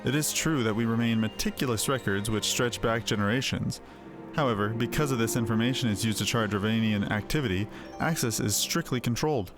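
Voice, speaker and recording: A man's voice: fairly low pitch, brisk, close to an everyday voice. A man voicing a guarded, officious astrologer in a fantasy game, formal and fussy, wary of outsiders. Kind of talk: acted fantasy game dialogue. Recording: music behind the voice.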